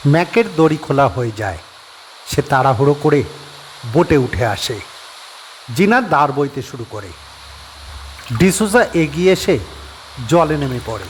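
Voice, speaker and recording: A man narrating a horror audio story at a steady, measured pace, his pitch sitting in the middle range. A faint hiss of noise lies under his voice.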